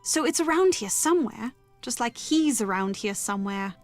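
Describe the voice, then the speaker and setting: An actress playing a well-bred young bride-to-be in a video game, talking in a proper, wordy, insistent way. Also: female voice, on the high side, lively intonation, moderate pace, faint music behind, lightly acted. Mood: insistent, worried.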